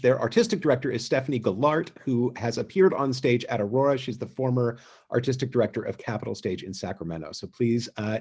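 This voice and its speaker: A man co-hosting a theatre company's online show, making casual announcements in a halting, conversational way. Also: medium pitch, a little mellow, husky.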